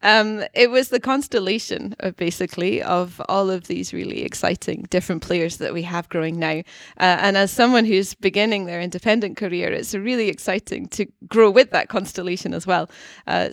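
Scottish woman with a gravelly voice. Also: on the low side, dry recording, studio quality. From Scotland. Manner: chatty, many ums and uhs, laughs easily. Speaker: guest scientist. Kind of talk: podcast interview. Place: studio.

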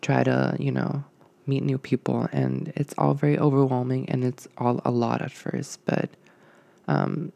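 Young man with a gravelly voice. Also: medium pitch, flat delivery, a little slow, dark tone, no room sound. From Puerto Rico. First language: Spanish. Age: young adult. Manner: rambling, hesitant, many ums and likes. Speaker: podcast host. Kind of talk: casual solo podcast monologue.

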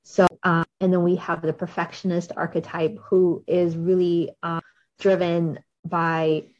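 Host: upbeat talkative woman, low-ish pitch, lo-fi recording. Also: level tone, unhurried, a little mellow. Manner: bubbly, chatty, many fillers. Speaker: podcast host. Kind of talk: podcast interview. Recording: dull lo-fi audio.

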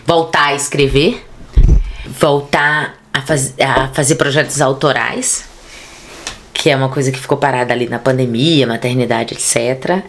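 A woman's voice: a bass voice, slight background noise. A Brazilian woman in her thirties with a Brazilian Portuguese accent, chatting animatedly as a podcast guest. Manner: animated, fast bursts of speech. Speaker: podcast guest, theater artist. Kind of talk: casual conversation.

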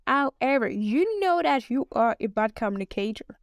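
A woman's voice: fairly high pitch, sing-song, normal speed, no room sound, studio quality. A woman from Nigeria with a Nigerian English accent, giving an emphatic podcast lesson as a business English coach who speaks straight to the listener. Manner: emphatic, addresses the listener directly.

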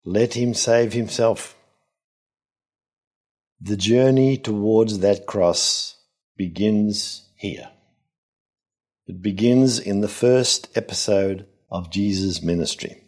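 Older guy preaching a homily, really slow and careful, raspy low voice. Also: a little mellow. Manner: measured, deliberate phrasing with pauses. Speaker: priest giving Gospel reflection. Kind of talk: homily, expository reflection.

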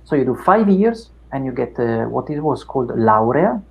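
Gravelly middle-aged Italian man. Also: mid-range voice, very animated, a little hiss or hum, rough recording. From Italy. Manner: unhurried, many fillers and restarts. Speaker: academic guest. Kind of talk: reflective interview chat.

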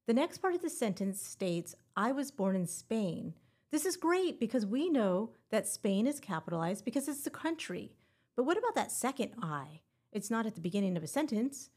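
A woman's voice: a little high, big pitch swings, moderate pace, darkish tone. A woman hosting a teacher-exam prep podcast, talking in a playful, encouraging way with little puns. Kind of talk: scripted educational podcast.

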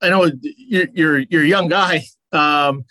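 A man's voice: a little high, fairly even intonation, measured pace, pushed and bright, dry recording. A man interviewing a baseball coach, asking conversational, leading questions. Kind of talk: sports interview.

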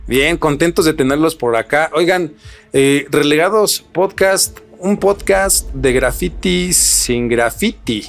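Man, podcast host, fairly high voice, casual presenting, soft music behind. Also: slow pace, gravelly. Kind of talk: podcast hosting, scripted intro and casual chat.

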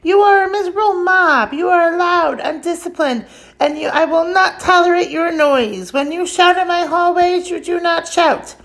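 A woman voicing a gruff, strict male drill sergeant in a storybook, scolding children in shouted, clipped commands. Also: very high-pitched, normal speed, voice pushed up. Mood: furious. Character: gruff, strict army drill-sergeant man berating schoolchildren. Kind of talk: acted storybook character voice.

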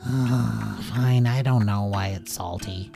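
A storyteller voicing a mild animal father who explains haltingly and tells fibs, in a children's story. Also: mid-range voice, very animated, over music.

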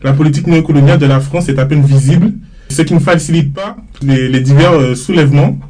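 A young man from Martinique hosting a student podcast, reading a measured scripted introduction and then putting questions to his guests. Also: on the high side, a little mellow. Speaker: podcast host. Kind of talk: read introduction and moderating.

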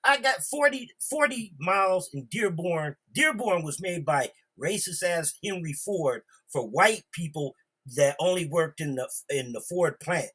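Blunt, husky man with a forceful edge. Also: a little high, lively intonation, a little slow. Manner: blunt, profane. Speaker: podcast discussion participant. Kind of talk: casual heated group discussion.

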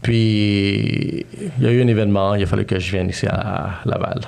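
A man's voice: lowish voice, fairly even intonation, normal speed, gravelly, a little mellow. A man in his thirties with a Quebec French accent, telling his life story as a podcast guest, freely mixing English slang into his French. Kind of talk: casual storytelling interview.

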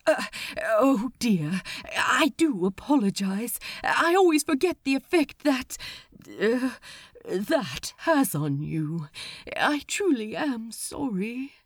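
A woman voicing a soft-hearted male angel, hesitant and contrite, with many fumbling pauses. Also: medium pitch, very animated, dry recording, studio quality. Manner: contrite, hesitant, full of "uh" and "oh dear".

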